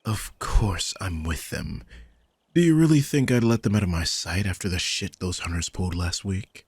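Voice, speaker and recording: A low, husky male vampire acted by a man, old in years, grumpy and protective, talking in a sardonic, informal way with casual swearing. It is an intimate audio drama performance, recorded very dry and close. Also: moderate pace, dry recording. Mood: defensive, sardonic. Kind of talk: intimate scripted audio roleplay.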